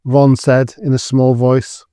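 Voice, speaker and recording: A man's voice: mid-range voice, completely flat delivery, takes it slowly, dark tone, clean tone, no room sound, clean studio sound. A man giving scripted dramatic narration for an audio drama.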